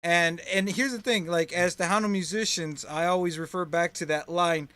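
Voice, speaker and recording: A man hosting a relaxed chat about keyboard playing, chatty and full of "you know what I mean". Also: sits high, moderate pace, clear, resonant tone, dry recording. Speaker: host, keyboard player. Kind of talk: casual chat about music.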